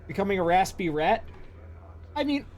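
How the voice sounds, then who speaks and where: A man streaming a game, reading its dialogue aloud with casual asides, his voice a little hoarse from long talking. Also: high voice, very animated, moderate pace. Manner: reads long text passages aloud with brief asides.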